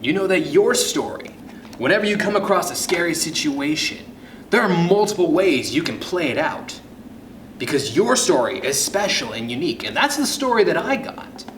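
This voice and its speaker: A man reading a children's story aloud as host, with suspenseful pauses and hushed drops in his delivery. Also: a little high, very animated. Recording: roomy echo, faint background noise.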